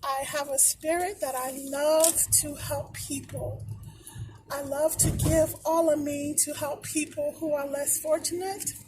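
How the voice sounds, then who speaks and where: A woman with a hoarse voice she says she has partly lost, giving heartfelt motivational tips in a slow, encouraging way to a phone camera. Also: sits high, level tone, noisy background. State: hoarse, losing her voice. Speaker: motivational vlogger. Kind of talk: encouraging casual vlog talk.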